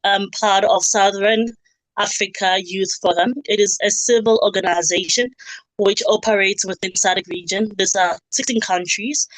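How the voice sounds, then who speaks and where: A woman introducing herself politely on an online panel, pausing to find her words. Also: medium pitch, steady tone, no room sound, rough recording. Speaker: panelist, youth forum member.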